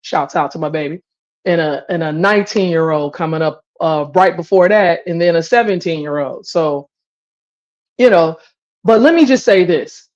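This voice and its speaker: A woman's voice: fairly low pitch, normal speed, darkish tone, muffled recording. A woman in her forties giving opinions in a candid, casual talk show conversation. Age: 40s. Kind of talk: candid casual open-forum chat.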